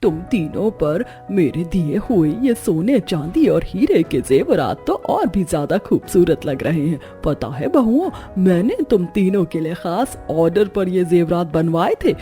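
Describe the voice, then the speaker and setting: A woman's voice: lowish voice, sing-song, unhurried, few pauses, mellow. A woman voicing a boastful, condescending middle-aged mother-in-law in a cartoon story, with music behind. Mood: proud. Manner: condescending, taunting. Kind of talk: Hindi cartoon story voice acting.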